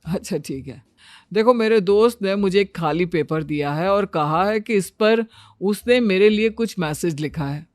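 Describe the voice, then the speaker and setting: A woman's voice: medium pitch, normal speed, a little mellow, dry recording, clean studio sound, pitched below the performer's own voice, lightly acted. A woman voicing an easygoing, puzzled young husband in a Hindi cartoon story, talking casually.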